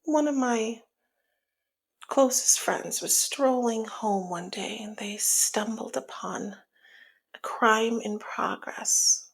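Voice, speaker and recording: Breathy, flirty female mob boss, acted by a woman. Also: fairly high pitch, slow pace, full character voice. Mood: composed, matter-of-fact. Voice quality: breathy, husky, often dropping to a whisper. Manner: teasing, sultry, slyly menacing. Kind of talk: ASMR roleplay audio drama.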